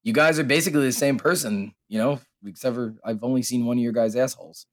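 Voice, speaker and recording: A man hosting a podcast in casual, profane banter, his voice hoarse and a little raspy, often laughing. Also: mid-range voice, fast talker, dry recording. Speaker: podcast host.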